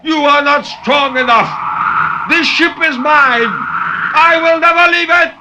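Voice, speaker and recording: A man's voice: extremely high pitch, slow pace, voice pushed up. A man voicing a roaring, domineering outcast aboard a ship in a 1950s radio drama, grand and defiant. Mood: furious. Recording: dull, noisy 1950s radio recording.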